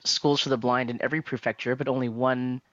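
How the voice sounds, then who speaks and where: A man hosting a podcast, speaking in a measured way with pauses while he explains. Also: mid-range voice, flat delivery, a bit bright, dry recording. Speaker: podcast host. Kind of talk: casual podcast interview. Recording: dull lo-fi mic.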